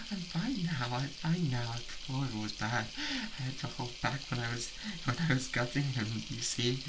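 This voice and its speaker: A man with a British accent playing an obsessive, unhinged boyfriend, doting and menacing, in a halting, intimate ASMR roleplay. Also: mid-range voice, background noise. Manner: halting, giggly, theatrically coaxing.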